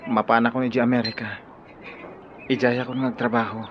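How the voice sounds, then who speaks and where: A man's voice: mid-range voice, flat delivery, brisk, close to an everyday voice. An actor with an Ilocano accent playing an earnest, lovelorn young man in a radio drama. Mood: earnest, wistful. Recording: dull lo-fi audio, clear background noise.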